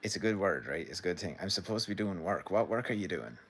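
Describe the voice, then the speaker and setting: Low-voiced Irish man chatting live. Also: lively intonation, quick pace, fairly bright tone, a little hiss or hum. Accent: Irish midlands. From Ireland. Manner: rambling, chatty, reads out viewer comments. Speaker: livestream host. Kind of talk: casual live commentary and chat. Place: home sitting room.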